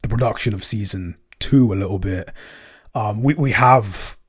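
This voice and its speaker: Low-voiced, slightly husky man thinking aloud. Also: normal speed. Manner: hesitant, thinking aloud, rephrasing mid-sentence. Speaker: podcast co-creator answering listener questions. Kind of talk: casual unscripted behind-the-scenes chat.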